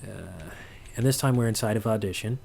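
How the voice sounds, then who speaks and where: A man giving a casual, unscripted sound design tutorial, halting and thinking aloud as he works. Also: fairly low pitch, vocal fry, a little mellow, slight background noise. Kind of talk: casual, unscripted software walkthrough.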